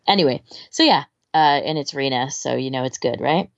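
A woman's voice: very deep voice, expressive, a little fast, vocal fry, no room sound, dull audio. A woman in casual, informal chat as a podcast co-host, rambling and hesitant as she gives recommendations, often trailing off. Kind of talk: casual chat, recommending fan fiction audio.

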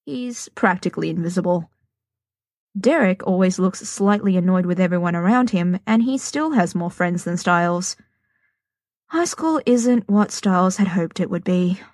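A woman's voice: mid-range voice, darkish tone, no echo. Dry, close woman story narrator. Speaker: fan fiction audio narrator.

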